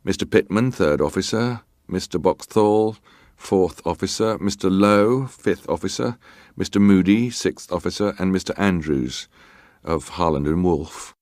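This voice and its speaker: A very low-pitched naval officer gives evidence in a historical drama. He is terse and guarded, answering with clipped formality. The sound is dry and close, free of room echo. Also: unhurried, darkish tone. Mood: precise, formal. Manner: clipped, formal, matter-of-fact. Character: British ship's officer testifying, terse, guarded, formally respectful. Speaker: actor reading a witness's testimony. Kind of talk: dramatised reading of hearing testimony.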